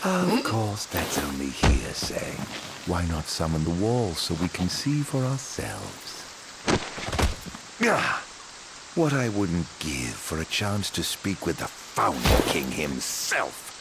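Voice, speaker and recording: A man's voice: sits low, wildly swooping pitch, takes it slowly, heavy background noise, heavily acted. A man playing a sardonic, vengeful immortal exile in a video game, with a mocking, theatrical, mock-polite drawl. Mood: sly, quiet. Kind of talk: video game villain acting. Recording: game audio under loud music, battle crashes. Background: loud orchestral music and battle crashes.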